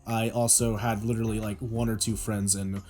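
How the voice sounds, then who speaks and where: A young man from Oklahoma with a deep voice, a podcast guest in casual chat who rambles and restarts a lot. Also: flat delivery, moderate pace, a little mellow, soft background music. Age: young adult. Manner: rambling, self-deprecating, many restarts.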